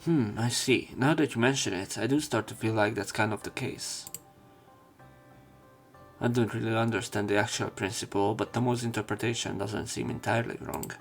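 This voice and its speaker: Let's play narrator, mid-range voice, halting read-aloud delivery of visual novel text, music underneath. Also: mid-range voice, steady tone. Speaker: let's play narrator reading translated lines. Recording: music behind the voice.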